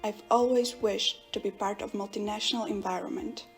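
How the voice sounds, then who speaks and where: Woman with gravelly voice giving testimonial. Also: mid-range voice, fairly even intonation, normal speed, faint music behind. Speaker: employee testimonial. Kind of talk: scripted testimonial.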